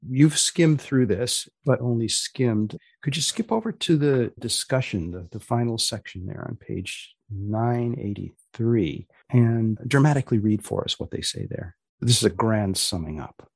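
A man hosting a podcast, putting questions to a guest in a deliberate, wry, halting conversational way. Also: medium pitch, lively intonation, creaky, breathy edge, no room sound. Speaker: podcast host interviewing a guest.

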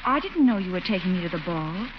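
An actress playing a proper, coy but firm lady in 1940s radio comedy style, genteel and gently chiding. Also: fairly low pitch, sing-song, fast talker, dull audio. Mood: firm, reproving. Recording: noisy band-limited old radio recording.

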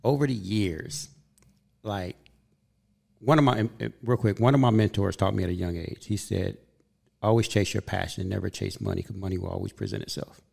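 A man's voice: lowish voice, fairly even intonation, fairly quick, gravelly, a little mellow. A man in his fifties hosting a podcast, telling stories in an earnest, upbeat way during a casual chat. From Southern California. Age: 50s. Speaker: podcast host.

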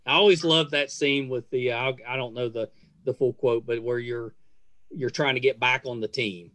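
American male podcast host, fifties, mid-pitched, chatty and hesitant, casual rambling interview style. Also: fairly even intonation, normal speed, fairly bright tone, no echo.

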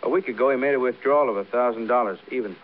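An actor playing a brisk, needling American police detective sidekick, clipped and wry, in old-time radio crime drama. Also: male voice, mid-range voice, close to an everyday voice. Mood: brisk, informal. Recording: muffled, noisy vintage radio broadcast.

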